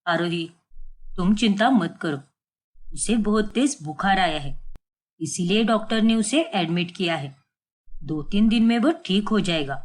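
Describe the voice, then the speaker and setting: A woman voicing a calm, caring man of the family who soothes and gently reproaches. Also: mid-range voice, unhurried, pitched below the performer's own voice. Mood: reassuring. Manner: soothing, reasoning.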